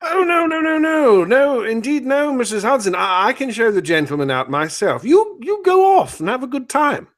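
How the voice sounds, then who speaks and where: A man acts out a genteel detective with a high voice that swoops widely up and down. He is affable and dithering, full of stammered courtesies in a mock radio-play style. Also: sing-song, normal speed, few pauses. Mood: affable, courteous. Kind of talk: old-time radio drama spoof.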